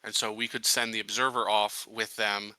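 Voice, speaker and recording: A man playing a tabletop roleplaying game, laying out plans casually in a deliberate, halting way. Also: lowish voice, level tone, sharp tone, clean tone, dry recording, rough recording. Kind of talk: casual in-character planning talk.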